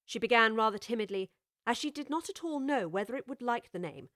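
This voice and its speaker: Brief: female narrator, storybook reading, fairly high voice, dry studio mic. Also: lively intonation, a bit bright, dry recording. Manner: measured, clear storytelling.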